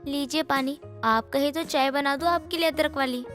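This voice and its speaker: A woman plays a dutiful young daughter-in-law in a morality tale. Her high voice is meek, polite and eager to please. A soft music bed plays underneath. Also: fairly even intonation, normal speed. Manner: polite, deferential.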